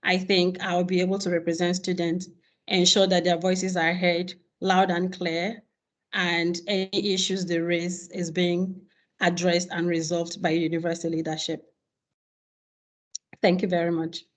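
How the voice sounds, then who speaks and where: A woman's voice: lowish voice, level tone, measured pace. A woman candidate giving a prepared campaign statement over a video call, earnest and listing her points steadily. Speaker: rector candidate.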